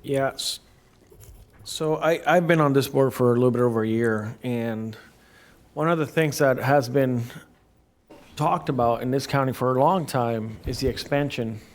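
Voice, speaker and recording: A man speaking as a county commissioner in a public meeting, making his points in a halting way with frequent restarts and fillers, into a desk microphone. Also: medium pitch. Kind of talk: formal public meeting remarks.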